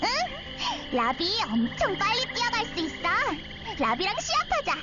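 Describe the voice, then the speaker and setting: Very high, bouncy little girl, innocent, excitable, animated game voice, loud music behind. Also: a little slow, heavily acted. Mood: playful, boastful. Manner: childlike, exclamatory, speaks of herself by name. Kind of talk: Korean game story voice acting. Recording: dull sound under loud music.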